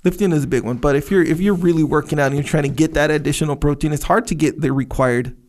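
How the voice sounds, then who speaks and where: A man's voice: a little high, fast talker, a little mellow. A man co-hosting a podcast in casual chat, laid-back and rambling, with many fillers and restarts. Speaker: podcast co-host. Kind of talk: casual chat with sponsor reads.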